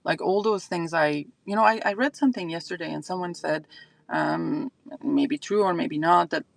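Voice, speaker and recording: Gravelly Iranian-accented woman chatting casually. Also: lowish voice, normal speed, no echo. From Iran. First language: Azeri. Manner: rambling, thinking aloud with many fillers. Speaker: fragrance channel host. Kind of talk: casual livestream chat.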